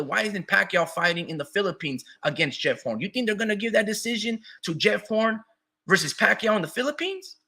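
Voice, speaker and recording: A man hosting a boxing talk show, arguing in an animated, profane way while losing his voice a little. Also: high-pitched, creaky. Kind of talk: heated casual boxing debate.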